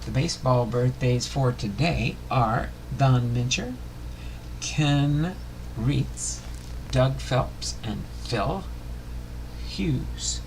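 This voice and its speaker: A man hosting a casual livestream, chatting and reading aloud in a halting, unpolished way. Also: medium pitch, a little mellow. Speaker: livestream host. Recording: clearly noisy room recording.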